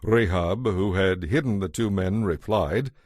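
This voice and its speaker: Low-voiced, solemn male narrator. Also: a little mellow, dry recording, studio quality. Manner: measured, solemn storytelling. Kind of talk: scripted audio Bible narration.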